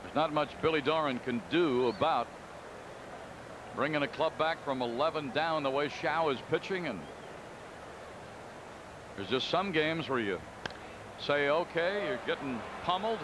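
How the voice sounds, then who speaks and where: A man calls a baseball game play by play, his voice sitting high and light. He moves calmly through counts and stats, and a loud stadium crowd hums underneath him. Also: unhurried, long pauses. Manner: calm, conversational, reading stats. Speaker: play-by-play announcer. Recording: broadcast booth over loud crowd noise. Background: stadium crowd ambience.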